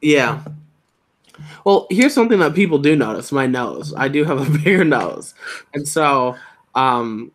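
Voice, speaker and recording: A man's voice: medium pitch, animated, measured pace. Young man with a slight lisp. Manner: self-deprecating, chatty, trails off quietly at ends of phrases. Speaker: YouTube Lego channel host. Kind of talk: casual chat.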